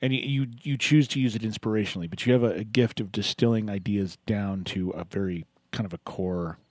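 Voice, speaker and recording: Encouraging low-voiced male radio host. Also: a little fast, dry recording. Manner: encouraging, rambling with restarts. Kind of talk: casual on-air chat with a caller.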